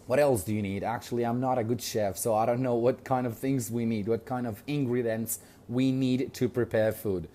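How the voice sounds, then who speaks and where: A man's voice: mid-range voice, dark tone. A man with a Kurdish accent teaching English in an online lesson, patiently repeating words, spelling them out letter by letter and checking in with "okay?".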